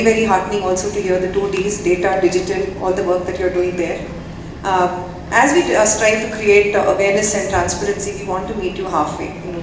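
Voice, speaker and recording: A woman speaks as a panel guest, lively and chatty with frequent fillers. She is heard from a distance. A long hall reverb and clear background noise surround her. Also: medium pitch. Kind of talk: public remarks at a panel. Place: large event hall.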